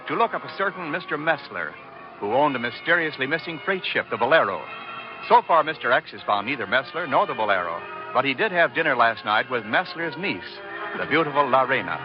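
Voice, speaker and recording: A man working as a radio announcer, reading scripted narration in 1940s broadcast style. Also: mid-range voice. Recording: muffled old radio broadcast. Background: music.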